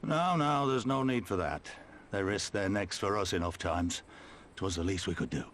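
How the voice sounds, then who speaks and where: A man's voice: low-pitched, moderate pace, fairly bright tone, slight background noise. A man voicing a weathered, humble older resistance commander in a video game, courteous and plain-spoken with a weighty delivery. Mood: kindly. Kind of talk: dramatic video game voice acting. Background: orchestral music.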